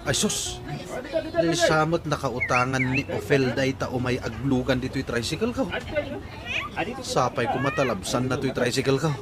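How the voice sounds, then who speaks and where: A male actor plays a hapless, henpecked tricycle driver with an Ilocano accent. His mid-pitched voice swoops widely as he whines and fusses in radio comedy style. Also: very animated, normal speed, over loud music, close to an everyday voice. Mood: grumbling.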